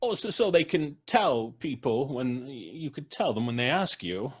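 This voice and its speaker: An actor playing a calm, amused man who cheerfully expects to die soon, talking in an unhurried, cryptic way. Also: medium pitch, husky. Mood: serene. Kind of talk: live radio drama reading.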